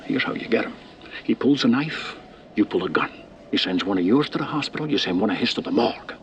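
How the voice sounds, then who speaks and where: A man's voice: lowish voice, expressive, quick pace, darkish tone, lo-fi sound, slight background noise, lightly acted. A man playing a blunt, tough street cop. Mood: grim. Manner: blunt, hard-edged.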